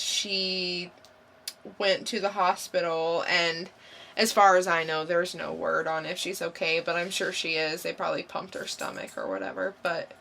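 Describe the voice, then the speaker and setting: Bright-voiced, slow-paced woman podcaster. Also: on the low side, vocal fry, a little hiss or hum. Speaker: podcast co-host. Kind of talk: casual quiz banter.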